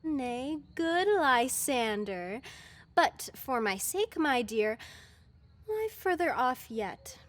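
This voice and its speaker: A woman's voice: high-pitched, big pitch swings, slow pace, full character voice. A woman playing a modest, affectionate young maiden in love in a Shakespearean verse audio drama, theatrical. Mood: gently firm.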